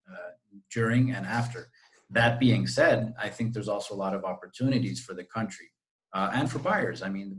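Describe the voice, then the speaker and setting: A man's voice: fairly low pitch, low-quality recording. A man from Costa Rica, a real estate agent, talking at length in a friendly way on a video call interview. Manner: friendly, talkative, explains at length. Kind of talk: interview answers.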